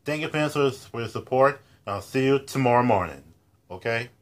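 A man's voice: medium pitch, expressive, fairly quick, very noisy. A young man hosting his own cooking show, talking straight to the camera from his kitchen at home. He speaks emphatically, in a mid-range voice, and keeps repeating his catchphrases. The sound comes from a camera mic at close range. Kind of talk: casual announcement to camera. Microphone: built-in camera mic at close range.

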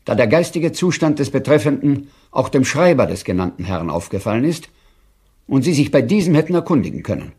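An actor playing a stern, indignant senior court official reading a formal letter of rebuke in a pompous, reproving way for an audio play. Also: male voice, mid-range voice, darkish tone, husky.

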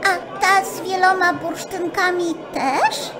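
Little girl, very high voice, very slow wondering delivery, children's radio play. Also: steady tone. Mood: eager wonder. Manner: eager, wondering, childlike chatter. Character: curious little granddaughter girl at a fair. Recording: music and crowd bustle behind.

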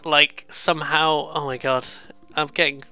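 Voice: medium pitch, flat delivery, measured pace, pushed and bright, clear, resonant tone, soft background music. A British let's player giving casual, rambling, amused commentary on a visual novel, with some swearing. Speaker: let's play host.

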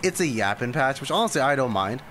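A man's voice: medium pitch, big pitch swings, fast talker, fairly bright tone, slight background noise. A young man doing casual, reactive live commentary as a game streamer, often repeating himself as he muses. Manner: reactive, repetitive exclamations and musing. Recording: faint game music behind.

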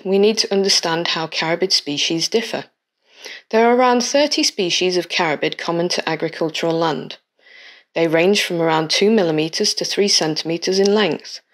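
A woman reading a scripted educational voice-over in a clear, measured, explanatory way. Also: on the low side. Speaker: science explainer narrator.

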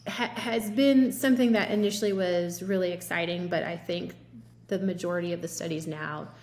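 A woman in her thirties, a physician, explaining treatment options in a relaxed way with many fillers, recorded over a video call from a home office. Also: fairly low pitch, normal speed. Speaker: physician guest. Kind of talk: conversational medical discussion. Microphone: laptop or webcam mic on video call. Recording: roomy video call audio with faint noise.

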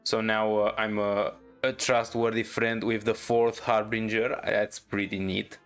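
A woman's voice: extremely low pitch, little pitch movement, a little slow, faint music behind. A woman streamer giving casual, dryly wry commentary as she plays a game. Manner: dry, wry asides. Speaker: streamer playing and reacting to a game.

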